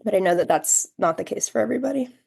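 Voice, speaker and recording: A woman's voice: medium pitch, expressive, quick pace, vocal fry, darkish tone, slightly rough. A young adult woman, a podcast guest, giving earnest, reflective answers in long flowing sentences. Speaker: podcast guest, human rights education fellow.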